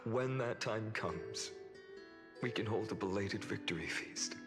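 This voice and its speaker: A man playing a calm, solemn, self-sacrificing young warrior leader in a video game, speaking in a measured, soft way. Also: lowish voice, big-room sound, music in the background, natural voice. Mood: solemn resolve. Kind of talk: scripted dramatic video game acting.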